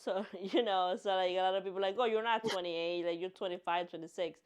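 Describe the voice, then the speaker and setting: A woman's voice: fairly low pitch, steady tone, quick pace, clear, resonant tone. A woman in her late twenties co-hosting a podcast in a casual, chatty style. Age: late 20s. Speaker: podcast co-host.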